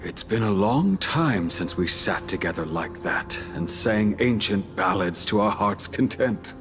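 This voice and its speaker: A lowish-voiced, gracious older exiled musician. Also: male voice, expressive, measured pace. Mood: grateful, reflective. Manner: formal, gracious, reflective. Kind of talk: video game dialogue. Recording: faint music behind the voice. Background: faint music.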